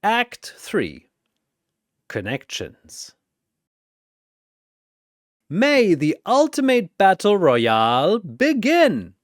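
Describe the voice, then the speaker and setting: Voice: medium pitch, huge pitch swings, very slow, long pauses, no echo, studio quality. A narrator delivering dramatic scripted battle storytelling in an emphatic, portentous, announcer-like manner.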